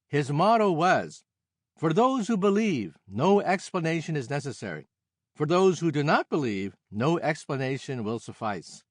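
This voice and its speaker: A man narrating a nonfiction science audiobook in a scripted, measured and expressive reading style, emphasizing key phrases. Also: a little high, no echo, studio quality. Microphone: close studio microphone, dry sound.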